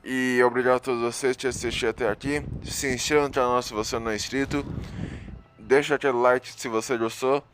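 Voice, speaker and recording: A man's voice: mid-range voice, steady tone, fast, a bit bright. A man with a Brazilian accent giving casual, slangy game commentary as a channel host. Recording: faintly noisy home recording.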